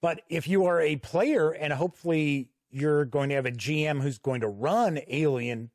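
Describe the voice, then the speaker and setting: A man's voice: fairly high pitch, normal speed, darkish tone, no room sound, clean studio sound. A man hosting an unscripted tabletop game review, talking conversationally and explaining as he goes. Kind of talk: unscripted review commentary while paging through a product.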